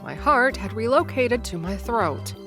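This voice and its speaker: A woman's voice: fairly high pitch, expressive, measured pace. Woman narrating a goofy audio drama, rambly, lots of uhs, music behind her. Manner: rambling, filler-heavy, wry asides to listeners. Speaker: narrator and lead character. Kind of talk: comedic first-person audio drama narration.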